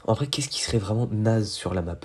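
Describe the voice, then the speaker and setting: A young man with a cold, nasal and stuffy, giving excitable, slangy commentary over his own gameplay and poking fun at his mistakes. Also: fairly low pitch, brisk, a little hiss or hum. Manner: excitable, self-mocking, slangy. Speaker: gaming YouTuber.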